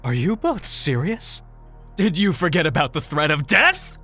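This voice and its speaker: A man acts an anxious, sarcastic human captive in an audio space comedy. His voice is high, sharp and jumps around with exasperation. There is faint music behind it. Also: big pitch swings, a little slow, slightly rough, full character voice. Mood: exasperated. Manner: panicky, sarcastic. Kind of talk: audio comedy drama acting.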